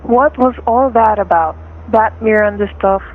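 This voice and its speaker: A woman's voice: fairly high pitch, unhurried, a little hiss or hum, close to an everyday voice. A woman playing a menacing, suspicious gunman in a radio thriller, curt and commanding, on a narrow-band recording. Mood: impatient, suspicious. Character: menacing, suspicious male gunman holding a couple hostage.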